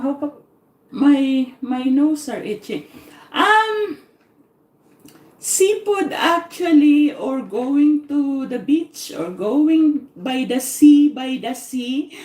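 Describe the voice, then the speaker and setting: A woman's voice: sits high, a little mellow, reverberant. A middle-aged woman from the Philippines with a Filipino accent, chatting in a rambling, repetitive way on her cooking live stream, laughing often. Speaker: cooking vlogger. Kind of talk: live-stream casual chat.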